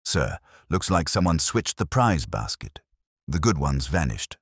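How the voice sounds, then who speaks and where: An eager police sergeant, played by a man, earnest, puzzled and deferential to his superior. The voice is low and husky with lively inflection, in radio mystery style. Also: a little slow, dry recording, studio quality, exaggerated voice. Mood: eager bewilderment.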